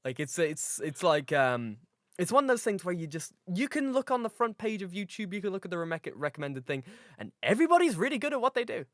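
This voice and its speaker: A man with a fairly high voice co-hosting a casual podcast, rambling excitedly with swearing and restarts. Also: fast talker, no echo, clean studio sound. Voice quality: relatively high voice. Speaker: podcast co-host.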